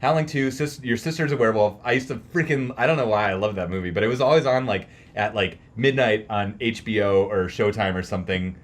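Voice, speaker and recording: A man with a slightly low-pitched voice talks casually, like a podcast host hanging out with a friend. His phrasing is informal and slangy, with the odd mild swear. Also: quick pace, few pauses. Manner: informal, slangy, mildly profane. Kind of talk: casual music chat.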